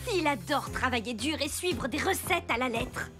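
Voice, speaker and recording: A woman's voice: high voice, expressive, moderate pace. A woman voicing a scheming, theatrical pastry thief in a French children's cartoon, gloating and cackling. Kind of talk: children's cartoon dub acting. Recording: loud music behind the voice.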